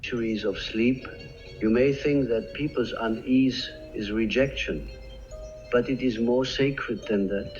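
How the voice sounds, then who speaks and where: A man giving slow, solemn scripted narration with measured pauses. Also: mid-range voice, darkish tone. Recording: dull lo-fi with faint music. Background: faint background music.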